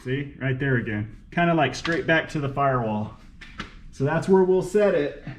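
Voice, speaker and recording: A man's voice: medium pitch, animated, normal speed. A man talking casually and unscripted in a folksy way as he works on a car, half muttering to himself and half explaining to the camera. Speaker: DIY car repair vlogger. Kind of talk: casual unscripted talk while working. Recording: echoey, noisy, clicking, uneven mic distance.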